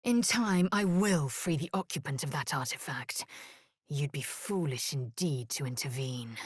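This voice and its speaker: A fierce githyanki warrior woman from a fantasy video game, with a low, husky voice. She speaks in a proud, imperious way, clipping her formal phrases and measuring them out slowly. The sound is close and dry. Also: animated, dry recording, heavily acted. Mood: low, intent. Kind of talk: video game character acting.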